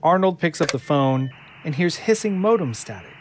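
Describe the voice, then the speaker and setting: A man's voice: on the high side, very animated, normal speed, slight background noise. A man reading scripted narration for an audio drama. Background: music.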